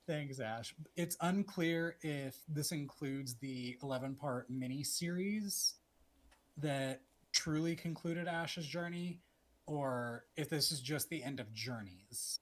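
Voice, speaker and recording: A man's voice: a little high, slow pace. Chatty man in his twenties. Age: 20s. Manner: chatty, laughs easily, trails off with fillers. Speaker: podcast co-host. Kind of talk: casual podcast chat.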